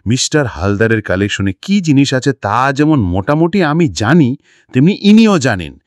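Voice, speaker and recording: A man's voice: medium pitch, big pitch swings, moderate pace, no echo, studio quality, voice pushed up, natural voice. A man voicing a nervous, talkative secretary in an audiobook, telling his tale in a wordy, dramatic, pleading way. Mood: anxious, dramatic. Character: nervous, talkative male secretary recounting a frightening night visit. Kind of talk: audiobook character dialogue.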